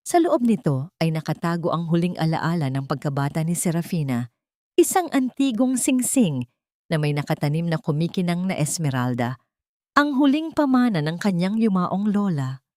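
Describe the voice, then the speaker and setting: A woman with a fairly low voice narrates an audio drama from a script. Her delivery is solemn and deliberate, with dramatic weight. The recording is dry and close. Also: darkish tone, no room sound.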